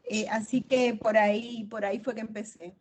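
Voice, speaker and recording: A woman's voice: fairly high pitch, steady tone, normal speed. A middle-aged woman from Cuba answering interview questions as an author, explaining at length and repeating phrases for emphasis.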